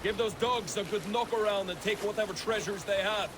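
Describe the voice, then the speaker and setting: A man's voice: sits high, a little fast, natural voice. An actor playing a brash, boastful Viking raider in a video game, blustering as he talks, over water noise. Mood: grumbling, eager. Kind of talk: cinematic video game acting. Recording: loud water and boat ambience.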